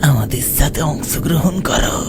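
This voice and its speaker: A man's voice: a little high, normal speed, over loud music, voice pushed up, natural voice. A man voicing a chilling, insistent ghostly pallbearer in a horror cartoon story. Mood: eerie, commanding.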